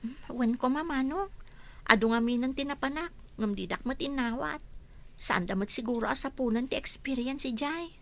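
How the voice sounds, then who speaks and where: A woman's voice: sits high, moderate pace. A woman acting an eager, humble, easily flustered young housemaid in an Ilocano radio comedy drama.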